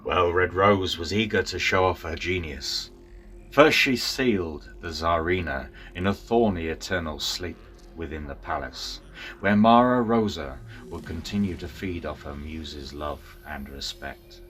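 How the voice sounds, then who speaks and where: A man narrating a scripted storybook tale in a theatrical, deliberate manner. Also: deep voice, animated, fairly bright tone, faint music behind.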